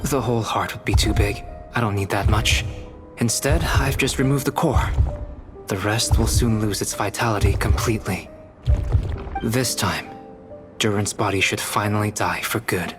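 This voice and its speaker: A man playing a low-voiced, composed young alchemist. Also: natural voice. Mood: matter-of-fact. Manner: measured, formal, precise, cool. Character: calm, erudite young male alchemist, detached and analytical. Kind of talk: video game voice acting. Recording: music behind the voice.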